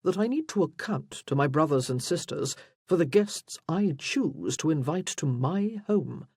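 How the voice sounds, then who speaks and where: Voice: mid-range voice, lively intonation, a little slow, a little mellow, slightly rough, dry recording, studio quality. A narrator voicing a haughty, cool aristocratic head of a family, formal and aloof, in a period romance audiobook.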